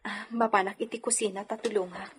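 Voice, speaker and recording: A woman's voice: mid-range voice, steady tone, a little fast, a little hiss or hum, natural voice. A woman in a radio drama playing a troubled young married woman brooding over her family. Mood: pensive.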